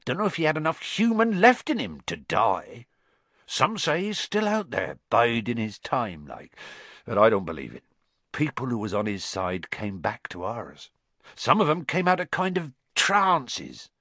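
Gruff big bearded giant, man reading him, husky and folksy, voice swings all over, bright edge. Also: very animated, a little slow, no echo, exaggerated voice. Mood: earnest. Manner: rough folksy speech, booming when angry. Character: huge bearded gruff gentle male giant.

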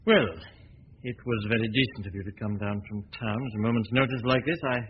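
An actor playing a suave, confident amateur detective in a 1940s radio drama, talking in a breezy, teasing, self-assured way. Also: male voice, fairly low pitch, fairly even intonation, a little fast, close to an everyday voice. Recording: dull old broadcast recording with faint hiss.

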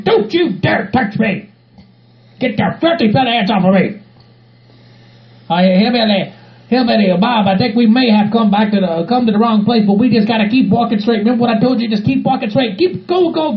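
A man's voice: very high voice, expressive, moderate pace. A man playing an agitated, exasperated hillbilly with a Southern US accent, ranting repetitively in a comedy skit. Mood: exasperated, angry. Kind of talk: improvised comedy skit in character voices. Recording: muffled lo-fi recording with faint music.

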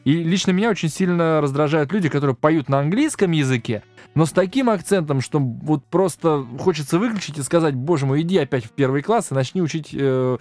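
A male band musician argues his views on a podcast, firm and emphatic. His voice sits slightly high for a man. Faint music hums in the background. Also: animated, a little fast, a little mellow, dry recording. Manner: opinionated and emphatic. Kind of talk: casual podcast interview.

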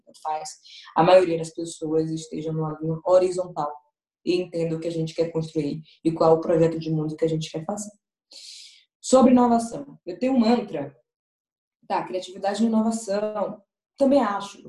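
A woman speaks to an online audience over a video call. Her voice sits a little low and mellow, and her tone stays conversational as she pulls in their replies. The room around her echoes. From Salvador, Bahia, Brazil. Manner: conversational, addresses audience directly, reads out chat replies. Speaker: guest speaker in an online event. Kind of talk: interactive online talk.

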